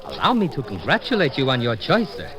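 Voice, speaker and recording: An actor playing a proud, eager-to-please hotel clerk, formal and obsequious, in 1950s radio drama style. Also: male voice, medium pitch, huge pitch swings, fast, crowd noise, low-quality recording. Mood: proud, fawning.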